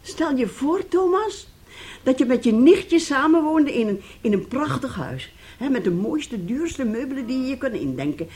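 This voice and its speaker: Bossy, wheedling mother, animated. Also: high-pitched, normal speed. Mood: persuasive. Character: bossy, scheming, self-pitying mother coaxing her son. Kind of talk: radio play acting.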